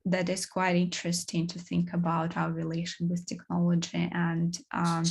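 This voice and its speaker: A woman talks through a seminar topic in a low, flat voice with a gravelly, husky edge. She halts often, filling gaps with hesitations and restarting her sentences. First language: Russian. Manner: halting, many fillers and restarts. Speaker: course presenter. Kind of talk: academic seminar talk.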